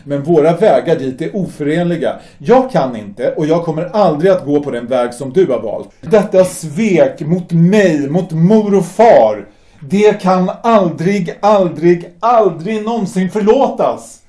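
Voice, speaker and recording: An actor playing a young sailor who angrily refuses to join the party, indignant and emotional. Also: male voice, a little high, animated, warm tone, roomy sound, close to an everyday voice. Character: outraged young sailor brother, anti-fascist. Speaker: Stefan, younger brother. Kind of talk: amateur audio drama acting.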